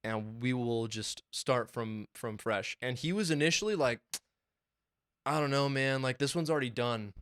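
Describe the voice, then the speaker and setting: A young man co-hosting a podcast, talking excitedly and swearing a lot as he rambles through stories about mixing music in his small home studio. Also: medium pitch, moderate pace, clean tone, no echo. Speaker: podcast co-host and music producer. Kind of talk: casual chat.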